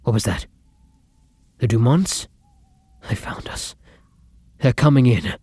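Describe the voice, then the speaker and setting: A man playing a charming, bloodthirsty vampire lover in an intimate audio roleplay, with a breathy, husky voice that often drops to a whisper and courtly, theatrical endearments. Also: medium pitch, expressive, slow, warm tone, no room sound. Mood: alarmed.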